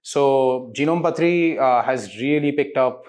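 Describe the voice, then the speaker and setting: A man's voice: medium pitch, measured pace, a little mellow. A man, giving articulate explanatory interview answers peppered with rhetorical questions. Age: 30s. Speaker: interviewed diagnostics company representative.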